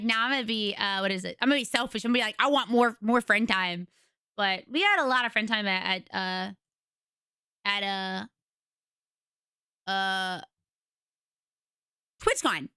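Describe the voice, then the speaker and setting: A young woman streamer with a raspy voice, chatting casually and rambling to her viewers from home. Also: medium pitch, moderate pace, long pauses, sharp tone, dry recording, studio quality. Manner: chatty, rambling, retells conversations.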